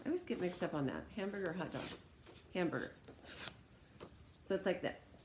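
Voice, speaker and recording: An American woman with a slightly low voice talks to students like a teacher. Her delivery is conversational and encouraging, as in an informal lesson or demonstration. There is some faint noise behind her. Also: lively intonation, normal speed. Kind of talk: informal read-aloud and craft demonstration for students.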